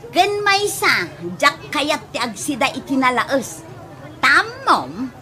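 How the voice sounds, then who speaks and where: A woman plays a snobbish Ilocano supervisor who puts on airs in a radio comedy. Her voice is high and sharp, fussy and full of self-importance. Soft music plays behind. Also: very animated, takes it slowly, some chatter behind, heavily acted. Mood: picky. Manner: haughty, fussy. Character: snooty, boastful newly promoted supervisor wife.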